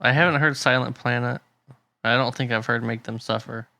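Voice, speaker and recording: A man's voice: mid-range voice, fairly even intonation, brisk, gravelly, sharp tone, dry recording. A man co-hosting a podcast who chats in an easygoing, joking way and trails off when he can't recall names. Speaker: podcast co-host. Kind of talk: casual chat.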